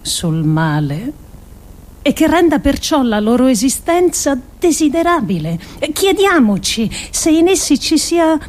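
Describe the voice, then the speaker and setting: Slow, higher-pitched woman, solemn dramatic reading. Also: a little mellow. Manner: solemn, deliberate, emphatic phrasing with pauses. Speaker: actress reading a radio monologue. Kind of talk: dramatic scripted literary monologue.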